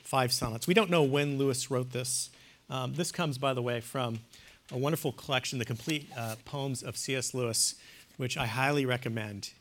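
A man speaks to a class with earnest emphasis. His voice sits at an ordinary middle pitch and swings between hushed and loud as he presses his points. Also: moderate pace. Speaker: lecturer. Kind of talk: classroom lecture on theology and poetry.

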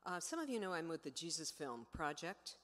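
Woman, deep for her sex, audience member, informal spoken comment, long hall reverb. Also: big pitch swings, brisk, fairly bright tone. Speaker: audience member, film mission worker. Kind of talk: personal comment and question from the audience.

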